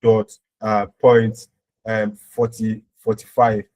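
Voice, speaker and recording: A man's voice: on the low side, monotone, slow pace, a little mellow. A man giving an informal step-by-step programming tutorial as an instructor, often checking in with short tag words as he explains.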